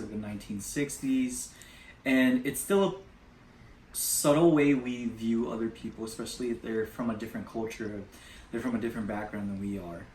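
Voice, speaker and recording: A man's voice: mid-range voice, darkish tone, slight background noise. Young guy, casual answers, sounds far off and echoey. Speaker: interviewee. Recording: roomy, distant from the mic.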